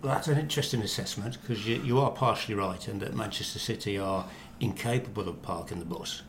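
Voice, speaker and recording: A man's voice: fairly low pitch, fast. A middle-aged man with a West Midlands English accent, chatting confidently and wryly about football as a podcast guest. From Walsall, West Midlands of England. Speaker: chief reporter and podcast guest. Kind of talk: casual football banter. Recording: echoey room, faint background noise.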